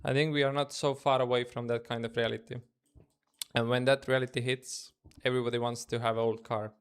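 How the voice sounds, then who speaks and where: A man's voice: medium pitch, level tone, fairly quick, clean tone. A man with an Estonian accent, a native Estonian speaker, chatting casually in loose English on a live trading stream from his room, thinking aloud and answering chat. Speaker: day trader streaming live.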